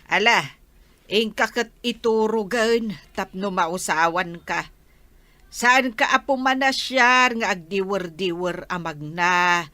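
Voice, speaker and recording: An actress playing an exasperated, nagging wife in a Filipino radio drama, with a Filipino accent. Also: female voice, medium pitch, takes it slowly, bright, forceful tone, no echo. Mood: exasperated, scolding.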